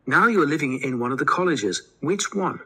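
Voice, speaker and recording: Businesslike office lady asking questions, helpful, sharp bright tone, goes up and down a lot. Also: deep voice, lively intonation, normal speed, slightly rough, exaggerated voice. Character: brisk, helpful homestay office clerk taking details. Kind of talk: scripted listening test dialogue.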